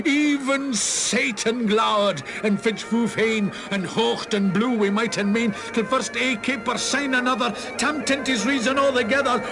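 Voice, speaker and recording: A man giving a dramatic, theatrical recitation of a narrative poem, swinging between hushed and roaring delivery. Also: very high voice. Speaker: poetry reciter.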